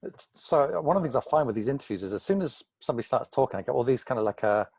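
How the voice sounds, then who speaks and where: A man's voice: mid-range voice, expressive, racing, creaky, darkish tone, husky, no echo. A man hosting an informal interview, curious and conversational, thinking aloud as he rephrases his questions. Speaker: interviewer, host.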